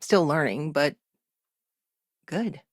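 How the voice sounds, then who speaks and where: An actress voicing a middle-aged, principled and determined lawyer in a video game, with a dry, wry, matter-of-fact way of talking. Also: female voice, deep voice, lively intonation, takes it slowly, no room sound, exaggerated voice. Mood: reflective. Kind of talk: video game character dialogue.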